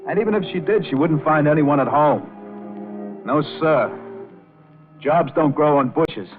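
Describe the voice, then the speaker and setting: An actor playing a cool, self-assured club pianist in an old-time radio crime drama, unruffled and matter-of-fact. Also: male voice, on the high side, unhurried, lightly acted. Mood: wry, storytelling. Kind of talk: old-time radio drama acting and first-person narration. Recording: tinny old radio recording with music behind.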